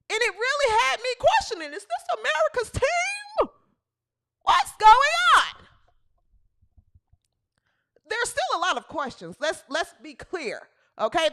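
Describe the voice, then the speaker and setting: Female host, 30s, bright and sharp tone, playful live-stream energy with shouted bursts. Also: very high-pitched. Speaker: podcast host. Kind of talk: live-stream sports talk chat.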